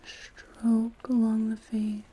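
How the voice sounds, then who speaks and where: A woman whispering in a gentle ASMR style as a massage therapist, guiding the listener slowly in short phrases. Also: on the high side, completely flat delivery, husky, low-quality recording. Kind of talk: whispered ASMR personal attention roleplay.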